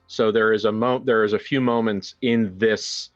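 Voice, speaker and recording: A man improvising narration for a tabletop role-playing game in a halting way, often repeating words as he searches for the next one. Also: on the low side, steady tone, lo-fi sound. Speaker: tabletop role-player narrating his character. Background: background music.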